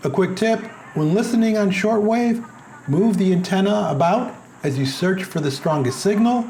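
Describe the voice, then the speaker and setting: Man giving a measured radio review. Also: sits high, expressive, darkish tone, slight background noise, poor audio. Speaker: product reviewer. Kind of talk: scripted product review narration.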